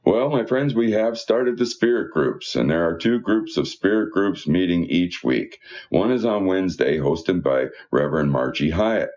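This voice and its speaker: A man's voice: low-pitched, lively intonation, moderate pace, darkish tone, dull audio. A man reading church newsletter announcements aloud in a folksy, conversational way with informal asides.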